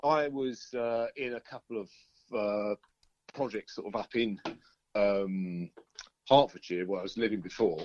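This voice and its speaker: A man's voice: lowish voice, unhurried, a little mellow, slight background noise. An easygoing man chats casually as an interviewed band musician, rambling and often hesitating over his words. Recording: dull lo-fi call audio.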